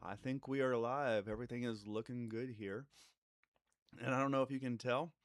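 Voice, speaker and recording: A man's voice: mid-range voice, moderate pace, dry recording, studio quality. A middle-aged man with a congested voice from a cold, a family therapist hosting a casual live stream, meandering with plenty of fillers. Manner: relaxed and meandering, many fillers and restarts.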